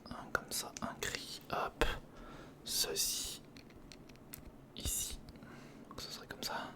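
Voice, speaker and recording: Whispered, breathy ASMR build commentary. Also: slow. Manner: casual muttering, thinking aloud. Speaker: ASMR video creator.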